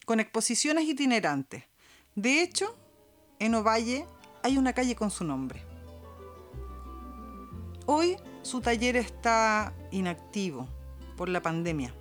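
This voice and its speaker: Female podcast narrator, Chilean accent, slowish and dramatic, very animated melody over a music bed. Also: on the high side. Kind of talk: scripted narration, expressive storytelling. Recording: music behind the voice.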